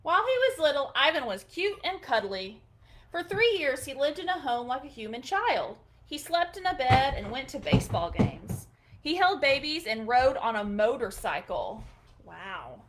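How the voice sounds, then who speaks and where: A woman's voice: high voice, big pitch swings, normal speed, fairly bright tone, slight background noise. A woman hosting a storytime, reading a picture book aloud expressively for children, with short chatty asides.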